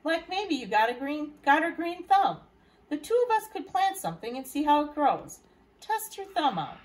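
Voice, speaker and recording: A woman reading a storybook part of a wise, gentle older woman gardener who talks in folksy, reassuring phrases. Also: high-pitched, very animated, normal speed, voice pushed up. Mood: encouraging.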